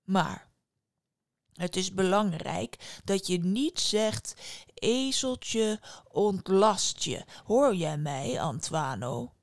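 A woman voicing a big, gentle male giant in a storybook, speaking slowly and solemnly with care. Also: mid-range voice, animated, dry recording. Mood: solemn warning. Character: kindly old-fashioned giant, big gentle male guardian.

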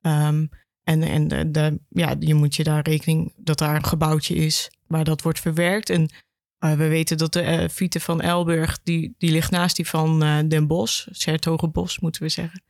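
Even-toned Dutch woman explaining, dry sound. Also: deep voice, normal speed, dry recording. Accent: Netherlandic Dutch. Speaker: education officer, podcast guest. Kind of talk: conversational explaining.

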